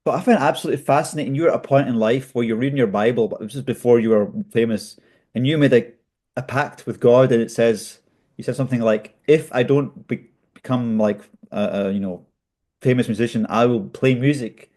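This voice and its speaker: A man's voice: mid-range voice, brisk, warm tone. A man with a Scottish accent hosting a podcast, asking questions casually. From Scotland, Greek family. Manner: casual, says "mate". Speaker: podcast host. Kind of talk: interview questions.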